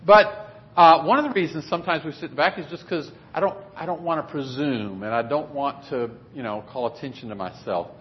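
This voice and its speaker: A man's voice: fairly high pitch, fairly quick, a little hiss or hum. A man preaching a sermon in a conversational, plainspoken way in a church meeting room. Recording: dull lo-fi recording.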